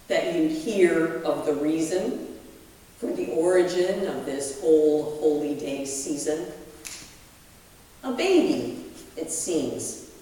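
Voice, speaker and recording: A woman pastor reading a poem aloud in a deliberate, measured way with dramatic pauses, recorded in a large reverberant hall. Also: on the low side, dark tone. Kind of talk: poetry reading from the pulpit. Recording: very echoey hall, distant microphone.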